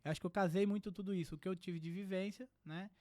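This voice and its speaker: A young man from Brazil talks as a podcast guest, earnest and reflective. His voice sits high for a man and the words come quickly. The studio sound is dry and close. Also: steady tone, a little mellow, dry recording. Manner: earnest, reflective, many filler "né". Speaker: psychiatrist guest. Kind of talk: casual podcast conversation.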